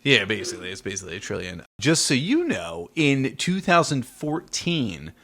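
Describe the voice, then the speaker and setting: A middle-aged man talks casually as a podcast host. His pitch is medium, and he explains films in a conversational way with gentle prompts. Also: big pitch swings, moderate pace, gravelly. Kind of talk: casual movie discussion with a child.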